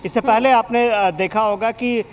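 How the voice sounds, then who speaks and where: A man's voice: high-pitched, steady tone, moderate pace. A man reporting live for television news, explaining at length with frequent hesitations.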